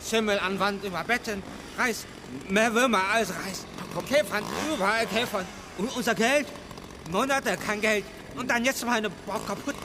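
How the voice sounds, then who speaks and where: High-voiced aggrieved migrant labourer played by a man. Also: close to an everyday voice. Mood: bitter, accusing. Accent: foreign. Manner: halting broken German. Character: aggrieved foreign migrant labourer, desperate and accusing. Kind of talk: German radio drama acting. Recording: outdoor ambient noise behind.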